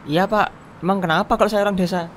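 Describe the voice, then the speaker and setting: A woman's voice: mid-range voice, fairly even intonation, fast talker, slight background noise, natural voice. A woman voicing Adi, a young dishwasher from a village who mutters sarcastic remarks and talks back to the bosses. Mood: cheeky. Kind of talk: cartoon drama voice acting.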